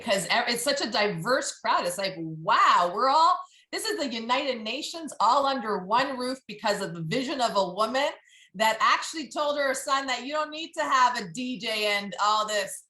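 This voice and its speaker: A woman's voice: a little high, sharp tone, roomy sound. A woman moderating a panel discussion, upbeat and chatty with jokey asides.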